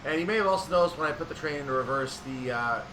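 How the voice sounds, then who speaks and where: A man giving casual commentary on a train simulator, thinking aloud and explaining in an unhurried way. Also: fairly high pitch, lively intonation. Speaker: gaming video creator. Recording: distant mic, clear background noise.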